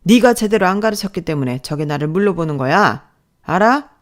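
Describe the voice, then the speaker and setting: A man's voice: fairly high pitch, lively intonation, normal speed. Woman as male department manager, abusive, sarcastic, belittling, barked delivery, dramatized story dialogue. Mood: indignant.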